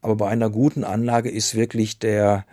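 Male interviewee, fairly deep, dry acoustic, spontaneous and searching for words. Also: flat delivery, brisk, mellow, dry recording. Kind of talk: unscripted interview talk.

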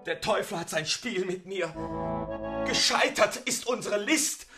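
A man's voice: high-pitched, measured pace, over loud music, pitched above the performer's own voice. A man voicing a despairing young lover in a radio story, lamenting theatrically. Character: despairing young man, a lovesick dramatic plotter.

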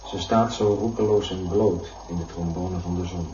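An actor playing a solemn man reciting a yearning love poem in a Dutch radio play, measured, sounding distant and echoey. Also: sits low, steady tone, warm tone, close to an everyday voice. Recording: distant, echoey, muffled, with background noise.

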